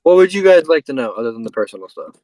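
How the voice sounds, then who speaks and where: Halting young man giving a life update. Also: medium pitch, sing-song, warm tone, dry recording, rough recording. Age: 20s. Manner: halting, restarts sentences often. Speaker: podcast host. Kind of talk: casual chat, life update.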